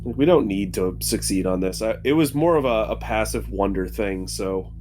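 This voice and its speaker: A man's voice: on the low side, lively intonation, moderate pace, darkish tone. A man chatting casually as a player at a tabletop roleplaying game.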